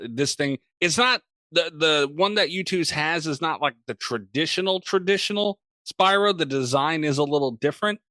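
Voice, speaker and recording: A man's voice: medium pitch, bright, forceful tone, no echo. A man hosting a podcast, chatting casually and enthusiastically with plenty of swearing. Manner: enthusiastic, foul-mouthed. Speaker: podcast host. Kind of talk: casual profane banter.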